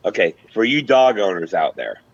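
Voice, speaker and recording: A man's voice: lowish voice, very animated, normal speed, vocal fry, highs cut off, slight background noise. A man chatting casually as a panelist on a sports show. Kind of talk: casual chat.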